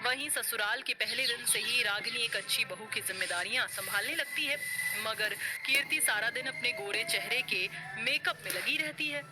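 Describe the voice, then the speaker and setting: A woman's voice: fairly high pitch, normal speed. A woman narrating a scripted story. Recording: loud music under the voice.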